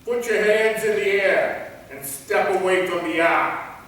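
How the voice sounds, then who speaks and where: An actor playing a hapless, underpaid security guard who bluffs haltingly, in a staged play reading. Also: male voice, sits high, a bit bright. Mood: bluffing authority. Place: theater, mic at a distance. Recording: very echoey, distant, faint noise.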